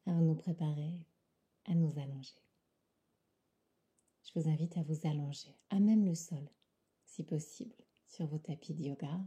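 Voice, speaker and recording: A woman guides a relaxation session in a very dark, mellow voice. It is extremely breathy and often slips toward a whisper. Her phrasing is calm, soothing and unhurried, pitched on the low side. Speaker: yoga nidra guide.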